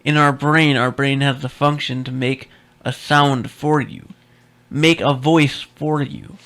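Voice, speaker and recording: A man's voice: mid-range voice, takes it slowly, creaky, fairly bright tone. A young man hosting a radio show, chatting casually and posing probing rhetorical questions. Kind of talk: casual on-air chat.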